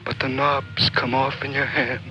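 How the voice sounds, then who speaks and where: An actor playing a bitter, world-weary soldier in a mid-century film drama, speaking intensely and bluntly. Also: male voice, medium pitch, level tone, measured pace, sharp tone. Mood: anguished, brooding. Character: brooding, bitter male soldier Mac, set on a last mission. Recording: muffled old film soundtrack with music.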